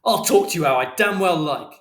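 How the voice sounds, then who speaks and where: Storybook angry dad performed by a male narrator: explosive, indignant, raised high in pitch, roomy echo. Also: lively intonation, brisk, a bit bright. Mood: angry, defiant. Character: furious, hurt, foul-mouthed father shouting in argument.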